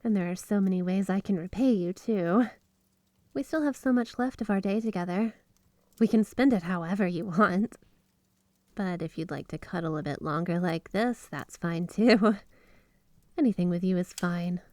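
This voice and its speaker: A woman's voice: on the low side, normal speed, darkish tone, no room sound, lightly acted. A woman playing a flirty, obsessively devoted yandere wife in an ASMR roleplay, speaking in a sweet, clingy, gushing way. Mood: loving. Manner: sweet, clingy, breathy giggles, gushing affection. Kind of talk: ASMR roleplay, addressing the listener as spouse. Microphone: close studio microphone, dry.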